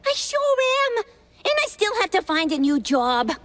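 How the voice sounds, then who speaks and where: A high-pitched, scatterbrained mouse showgirl. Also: sing-song, normal speed, a bit bright. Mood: indignant, fretful. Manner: scatterbrained, rambling. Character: ditzy, flustered cartoon mouse magician's assistant.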